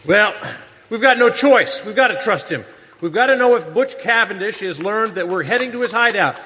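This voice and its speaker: An actor playing a resolute Texas Ranger captain in a western radio drama, hearty and commanding. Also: male voice, sits high, expressive, moderate pace, pushed and bright. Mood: brisk, commanding. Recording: long hall reverb, faint noise.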